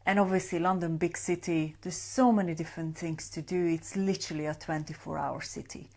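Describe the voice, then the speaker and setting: A woman's voice: fairly low pitch, fairly even intonation, fairly quick, a little mellow. A woman from Hungary, a sleep coach giving a chatty live-stream talk with many fillers and greeting viewers by name. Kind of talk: Facebook Live talk to a group. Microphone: webcam or phone on a live stream.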